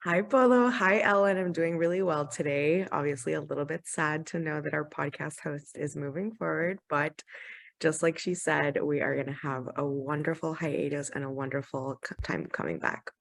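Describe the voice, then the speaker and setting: A woman's voice: deep voice, normal speed, vocal fry. Upbeat young woman from Honduras. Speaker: marketing director and guest. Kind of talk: podcast interview.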